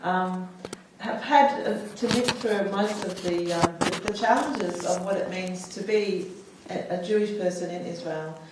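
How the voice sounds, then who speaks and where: Woman, 40s, from the US; halting personal testimony full of fillers; lower voice; distant mic, very echoey hall. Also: background noise. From United States. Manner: hesitant, many fillers and restarts. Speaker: panel speaker. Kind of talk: personal testimony at a public talk.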